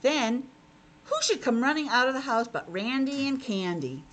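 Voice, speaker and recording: A woman teacher reading and explaining a storybook to young children in an expressive, child-directed way. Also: fairly high pitch, normal speed, slight background noise. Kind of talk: storybook narration with simple explanations.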